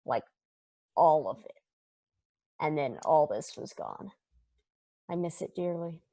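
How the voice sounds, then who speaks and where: A woman's voice: deep voice, lively intonation, slow, warm tone, heavily acted. A woman playing a brash, irreverent, joking space probe in a stage play table read, talking in casual, sweary slang. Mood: wistful, sardonic.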